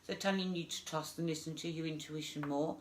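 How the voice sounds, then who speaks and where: A woman's voice: deep voice, fairly even intonation, brisk, slight background noise. Congested woman giving a psychic reading. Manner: chatty, hesitant, many fillers. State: congested with flu. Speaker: psychic medium.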